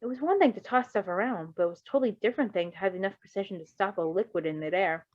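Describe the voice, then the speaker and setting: A woman's voice: mid-range voice, fast, no room sound, highs cut off. A woman reading fan fiction aloud as an amateur narrator, with casual commentary.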